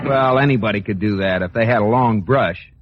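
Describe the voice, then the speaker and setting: A man's voice: lowish voice, brisk, loud music behind the voice, lightly acted. A man playing a skeptical, sardonic banker husband in a 1940s radio comedy, exasperated in delivery. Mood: wry, dismissive. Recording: dull, noisy old radio recording.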